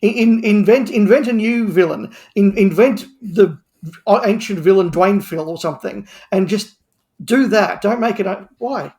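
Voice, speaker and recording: A man's voice: high-pitched, normal speed, darkish tone. A man speaking as a podcast guest in an opinionated discussion, stressing his points by repeating words and phrases. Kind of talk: animated opinionated panel discussion.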